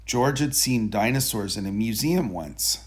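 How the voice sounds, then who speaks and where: Husky, expressive male storybook reader. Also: fairly low pitch. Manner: deliberate, expressive storytelling for young children. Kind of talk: children's picture book read-aloud.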